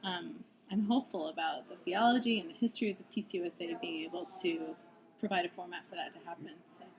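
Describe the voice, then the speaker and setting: A woman in her thirties, a seminary student, answering interview questions thoughtfully, laughing often and pausing with ums. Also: lowish voice, steady tone, a crowd in the background, rough recording. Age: 30s.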